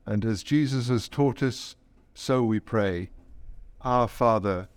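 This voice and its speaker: Slow, clear man leading prayers. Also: medium pitch, no echo. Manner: reverent, measured phrasing with deliberate pauses. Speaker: priest leading a service. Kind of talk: intercessory prayer in a church service.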